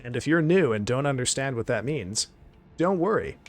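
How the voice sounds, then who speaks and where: A man chatting casually on a live stream, excitable and thanking viewers, his voice getting hoarse. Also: mid-range voice, moderate pace, soft background music. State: losing his voice.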